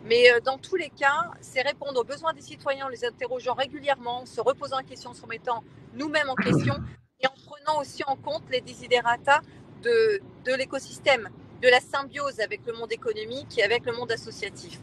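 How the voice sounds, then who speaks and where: A woman, a local elected official, explaining her position in a panel discussion, emphatic and persuasive, with hesitant pauses. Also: high-pitched, steady tone, fairly bright tone, slight background noise, rough recording.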